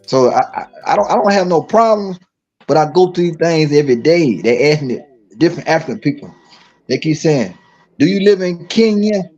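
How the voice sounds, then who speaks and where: A man from Dallas speaks with a husky, mellow voice. He tells his stories emphatically, circling back and repeating phrases with lots of restarts. The stream audio is dull and lo-fi. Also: fairly high pitch, animated, normal speed, faint music behind. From Dallas, Texas. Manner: repetitive, emphatic storytelling with many restarts. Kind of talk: casual live-stream chat.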